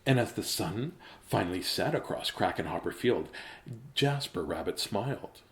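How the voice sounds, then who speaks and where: A man's voice: lowish voice, animated, normal speed, a little hiss or hum. A man reading a children's bedtime story theatrically, slipping into whispers and repeating words for drama.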